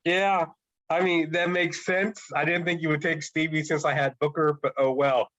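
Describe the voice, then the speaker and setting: A man's voice: fairly high pitch, flat delivery, normal speed, a bit bright, muffled recording. A man co-hosting a casual wrestling podcast, stuffy from a cold and thoughtfully weighing his choices. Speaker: podcast co-host.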